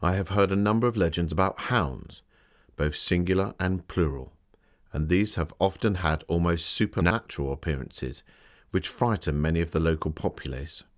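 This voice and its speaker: An actor playing a Victorian gentleman author who recounts his dark tales in a measured, formal and theatrical way. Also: deep voice, close to an everyday voice. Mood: genial, formal. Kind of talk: dramatic scripted storytelling for an exhibit.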